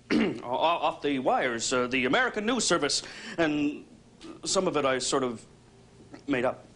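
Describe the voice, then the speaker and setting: An actor playing a whiny, sensationalist TV news anchor with a melodramatic manner in a mock broadcast. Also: male voice, a little high, expressive, measured pace, fairly bright tone, slight background noise. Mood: sheepish, whining. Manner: melodramatic, stammering when challenged.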